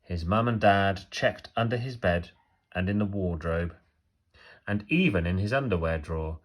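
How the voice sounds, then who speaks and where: A man reading a children's story aloud like a teacher, expressively, with emphatic phrasing and pauses for effect. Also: deep voice.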